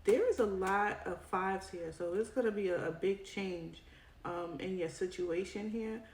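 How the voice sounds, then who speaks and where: A woman's voice: mid-range voice, a little slow. A woman giving a casual tarot reading straight to her viewers, her voice tiring as she comes down with a cold. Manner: chatty, addresses viewer directly with frequent "right?". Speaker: tarot reader. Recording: echoey room with card shuffling noise. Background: clicks and shuffling cards.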